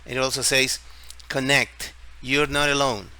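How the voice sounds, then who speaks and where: Bright, forceful man with Ecuadorian Spanish accent. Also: mid-range voice, flat delivery, measured pace, clear, resonant tone. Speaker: tutorial video host. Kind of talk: informal screen-share explainer. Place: at home, street celebration outside. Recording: faint background noise.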